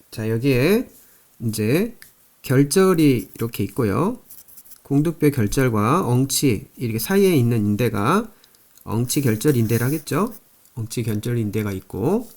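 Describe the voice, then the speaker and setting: A man's voice: medium pitch, darkish tone. A man teaching anatomy in a recorded lecture, explaining slides step by step and repeating key terms with frequent fillers.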